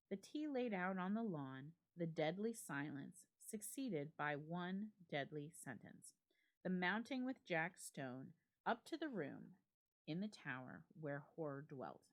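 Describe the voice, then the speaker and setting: A woman giving a dramatic reading of a classic ghost story, with measured, expressive phrasing and deliberate pauses. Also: lowish voice. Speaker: library staff member reading a story aloud.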